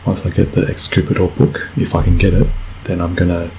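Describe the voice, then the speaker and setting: Male gamer, sick and croaky, very deep voice, rambling let's play talk, slight background hiss. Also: monotone, fairly quick, a little mellow, rough recording. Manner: rambling, self-correcting, frequent fillers. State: croaky voice from a cold. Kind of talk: casual gameplay commentary.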